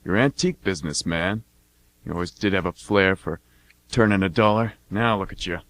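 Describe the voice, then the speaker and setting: Low-voiced laid-back older brother played by a man. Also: normal speed, a bit bright, dry recording. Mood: fondly teasing. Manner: casual, folksy. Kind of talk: amateur radio drama acting.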